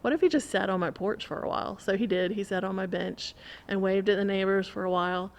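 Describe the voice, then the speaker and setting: A woman's voice: mid-range voice, fast talker, a little hiss or hum. A middle-aged American woman chatting casually and telling anecdotes as a podcast co-host. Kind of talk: casual chat, anecdotal storytelling.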